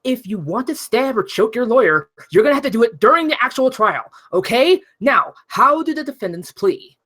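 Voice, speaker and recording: A woman's voice: a little high, very animated, moderate pace, fairly bright tone. A woman voicing a stern, indignant judge losing all patience in a comedy script table read. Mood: exasperated. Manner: indignant, scolding.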